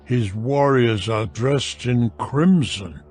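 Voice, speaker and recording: Very slow, solemn man reading scripture. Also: medium pitch, a little mellow, slightly rough. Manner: solemn, deliberate, declamatory. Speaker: narrator of a dramatized audio Bible. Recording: music behind the voice. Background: music.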